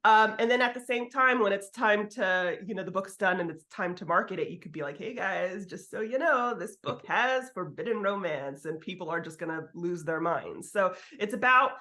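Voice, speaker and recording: A woman's voice: medium pitch, expressive, normal speed, few pauses, pushed and bright. A woman speaks as a guest author in a casual interview. She is candid and informal, explaining her advice conversationally, with a mid-range voice and an occasional curse.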